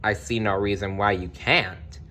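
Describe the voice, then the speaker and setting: A man's voice: on the low side, fairly even intonation, unhurried, bright, forceful tone. A man voicing a cold, commanding, sly stepmother in a read-aloud storybook, giving imperious orders.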